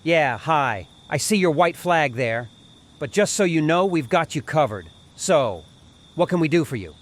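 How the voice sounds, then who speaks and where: A man's voice: fairly high pitch, very animated, measured pace. A man voicing a terse, authoritative army sergeant in an audio comic drama. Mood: firm, wary. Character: gruff, commanding army sergeant leading survivors. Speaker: voice actor.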